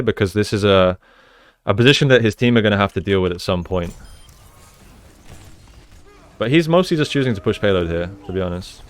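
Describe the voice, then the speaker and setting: Male game coach, smooth lowish voice, explanatory and conversational, talking through gameplay analysis. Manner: explanatory, conversational, often checks with "right?".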